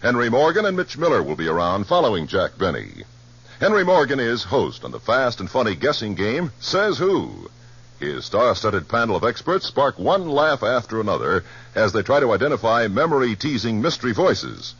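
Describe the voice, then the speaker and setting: Animated American male radio announcer, husky. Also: mid-range voice, moderate pace. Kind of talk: 1950s scripted radio promo announcing. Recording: muffled vintage radio broadcast.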